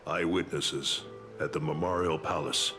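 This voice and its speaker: An actor playing a grave, stately lord who commands guards in a fantasy game, speaking formally and deliberately. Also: male voice, a bass voice, steady tone, over music. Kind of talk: dramatic video game voice acting.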